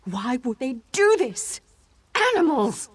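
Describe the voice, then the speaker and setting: A woman's voice: high voice, very animated, slow, slightly rough, dry recording, heavily acted. A woman playing a troubled, emotional young elf girl with magic in a video game. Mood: bitter, angry. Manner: emotional, swinging from bitter anger to pleading.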